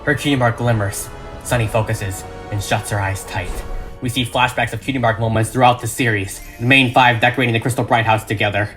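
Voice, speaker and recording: A man's voice: mid-range voice, fairly even intonation, fairly quick. A man reading a script as dramatic narration, with emphatic, suspenseful delivery. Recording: music behind the voice.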